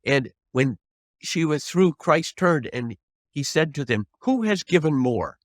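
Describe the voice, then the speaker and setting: A man's voice: on the high side, lively intonation, unhurried, no echo, clean studio sound. An older man, a trial lawyer, giving advice as an interview guest in an earnest, emphatic, folksy way with rhetorical questions.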